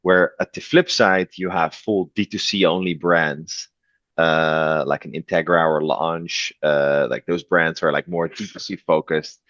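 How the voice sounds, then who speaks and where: A man from the Netherlands with a Dutch accent, talking as a podcast guest, relaxed with many fillers and restarts. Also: very deep voice, no room sound, highs cut off. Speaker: guest, revenue executive. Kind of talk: podcast interview.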